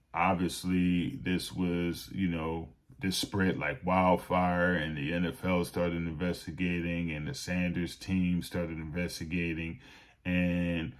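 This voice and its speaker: A man hosting a show of casual sports commentary, talking straight to viewers in a deliberate, halting way. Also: sits low, a little mellow. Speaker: host.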